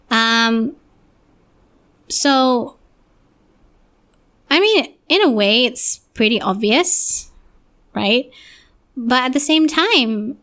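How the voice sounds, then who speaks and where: A young woman talks through her thoughts on a solo podcast, her voice a touch hoarse. She rambles and restarts often, reflective and unhurried. Her pitch sits slightly above the usual. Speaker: podcast host.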